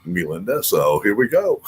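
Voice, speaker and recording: A man's voice: fairly low pitch, very noisy. An older man hosting a casual radio show, rambling and full of filler words and restarts. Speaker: host.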